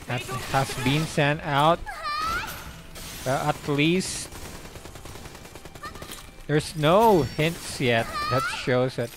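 A young man giving casual, halting live commentary as he streams a game, speaking into a close microphone in a small room. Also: fairly high pitch. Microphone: close desk microphone.

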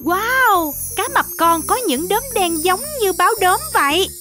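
Very high, bright woman narrating for children. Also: expressive, measured pace. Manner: exclamatory, talks directly to child viewers. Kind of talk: animated scripted storytelling for young children. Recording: music behind the voice. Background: children's music.

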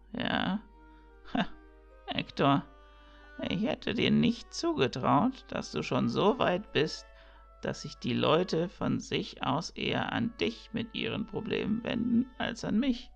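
A man playing a cheerful, kindly elderly village priest in an audio drama, chatty and musing, with a creaky voice. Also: fairly high pitch, dull audio, full character voice. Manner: jovial, chatty, drawn-out musing.

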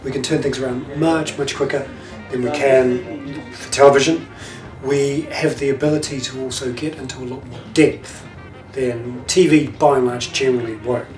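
A British man in his thirties talks in a conversational interview manner at a medium pitch. The room rings a little and music plays clearly behind him. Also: fairly even intonation, normal speed. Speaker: radio news journalist. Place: open newsroom lounge. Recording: roomy office sound, music underneath.

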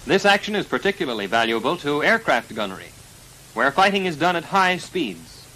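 An American man narrating a 1940s military instructional film in a formal, precise, measured delivery, on a vintage film soundtrack. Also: on the high side, very animated, bright, forceful tone, slight background noise, poor audio. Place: voice-over recorded for a film.

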